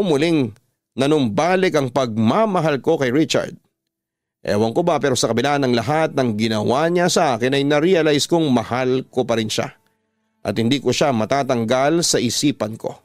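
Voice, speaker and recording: A man's voice: mid-range voice, normal speed, dry recording. A Filipino man with a dramatic storytelling delivery, narrating a first-person story as a radio drama host. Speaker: radio drama host reading listener stories. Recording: dry studio voice over music. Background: background music.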